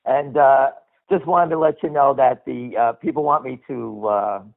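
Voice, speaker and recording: A man's voice: medium pitch, measured pace, creaky. A middle-aged or older man hosting a stock market call with unscripted, opinionated commentary, speaking bluntly and emphatically, with swearing and repeated phrases. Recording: muffled lo-fi radio-like call audio.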